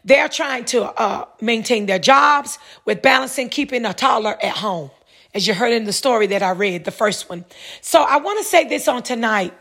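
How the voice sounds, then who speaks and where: Woman, husky, slightly high voice, rambling solo host, self-correcting. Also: lively intonation, normal speed, a bit bright. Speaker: solo podcast host reading news. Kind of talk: casual commentary after reading an article.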